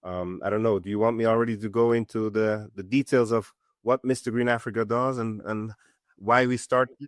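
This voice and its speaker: A middle-aged man from Switzerland, a company co-founder, answering interview questions in an earnest, halting way with many fillers. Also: fairly low pitch, dry recording, studio quality. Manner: earnest, many fillers and restarts, steady explanation. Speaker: company co-founder and CEO, guest.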